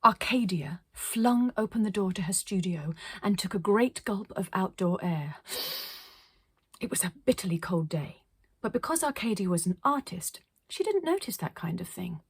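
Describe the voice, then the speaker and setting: A woman reading a children's story aloud as storyteller, expressive, with dramatic pauses. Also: medium pitch, breathy edge. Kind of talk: scripted children's story narration.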